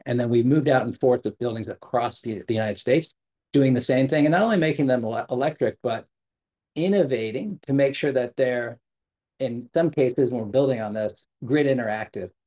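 A man's voice: medium pitch, a little fast, mellow. Middle-aged man giving a video-call keynote. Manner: earnest, many fillers and self-corrections. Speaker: government sustainability official giving a keynote. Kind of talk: prepared keynote speech. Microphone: video call, webcam at desk.

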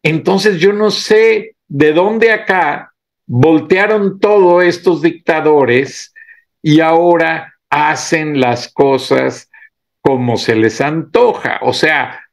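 A middle-aged man giving indignant political commentary on camera, pausing to read legal text aloud in a slow, deliberate way. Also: fairly high pitch, gravelly.

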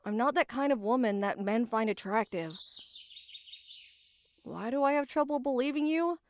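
A woman's voice: a little high, animated, moderate pace, a little hiss or hum, lightly acted. A woman playing a tough, proud female knight, guarded about love, speaking earnestly and formally in an anime ASMR roleplay. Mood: reluctant, softened, then self-deprecating.

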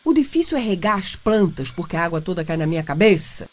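An actress with a Brazilian accent playing a meddling, teasing wife and matchmaker in a comic radio soap. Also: female voice, on the low side, very animated, quick pace. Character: meddling, teasing married woman, eager matchmaker.

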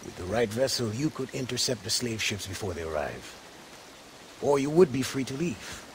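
A man plays a thoughtful rebel adviser in a video game drama. His mid-pitched voice moves in lively swings, earnest and deferential, with faint rain and water noise behind. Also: moderate pace, lightly acted, rough recording. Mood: earnest, advising. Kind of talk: video game cutscene acting.

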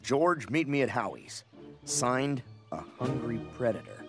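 A man voicing a dry, deadpan ape sidekick in a cartoon. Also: mid-range voice, measured pace, music in the background. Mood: reading aloud.

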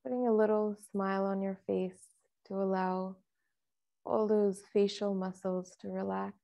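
Slow, creaky-voiced woman guiding meditation. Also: mid-range voice. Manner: calm, measured, with long pauses. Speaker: meditation teacher. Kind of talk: guided meditation, softly instructive.

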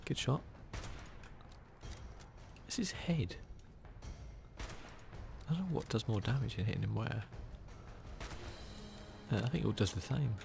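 A man giving hushed ASMR gameplay commentary close to the microphone, often dropping into whispers. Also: medium pitch, lively intonation, unhurried, vocal fry, long pauses, over music. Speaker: gaming video host.

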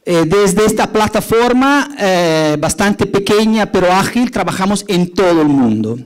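A man's voice: sits high, expressive, measured pace. Italian man giving a conference talk. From Italy. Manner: many fillers and restarts, explanatory. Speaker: conference speaker, urban designer. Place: large auditorium stage.

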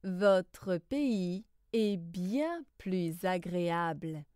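A woman reading scripted lesson phrases slowly, in a deliberate, carefully enunciated way. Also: fairly low pitch, animated, darkish tone, clean tone, no room sound, studio quality. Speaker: language lesson reader.

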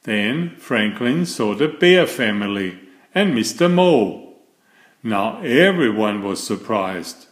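A man reading a children's picture book aloud as an expressive storyteller with deliberate phrasing. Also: on the low side, echoey room. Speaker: storybook reader.

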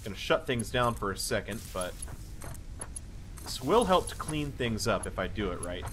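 A man casually narrating his own building game, talking to himself with wry asides and a slightly hoarse voice. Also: on the low side, sing-song, normal speed, background noise. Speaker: let's play host. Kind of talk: casual gameplay commentary. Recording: voice-over with loud game music behind.

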